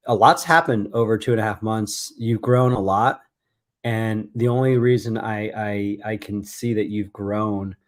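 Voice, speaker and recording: A man's voice: on the low side, darkish tone. A man hosting an interview show, speaking with enthusiasm and often repeating himself. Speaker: host.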